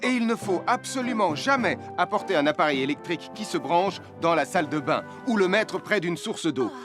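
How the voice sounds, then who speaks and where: Kids' cartoon fireman: high voice, responsible, reassuring teacher, over prominent music. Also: expressive, brisk. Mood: instructive, reassuring. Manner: didactic, reassuring, clearly articulated. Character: friendly, responsible adult male cartoon firefighter. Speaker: firefighter character giving safety advice. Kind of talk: French-dubbed children's cartoon safety lesson.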